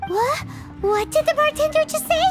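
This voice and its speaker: A woman's voice: lively intonation, normal speed. A woman voicing a tiny, cheeky, childlike fairy companion in an anime game, with theatrical delivery. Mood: startled. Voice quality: squeaky, childlike. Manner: theatrical, refers to self in third person. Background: background music.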